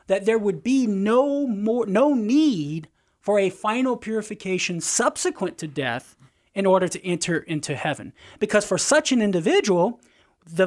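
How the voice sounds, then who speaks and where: Emphatic Cajun man explaining doctrine. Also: high-pitched. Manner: emphatic, teacherly, addresses caller by name. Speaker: apologist. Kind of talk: explaining doctrine on call-in radio.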